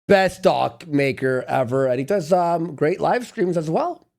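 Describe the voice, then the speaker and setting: Blunt derisive man, gravelly and lively. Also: fairly high pitch, a little slow. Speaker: podcast co-host. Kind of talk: casual commentary chat.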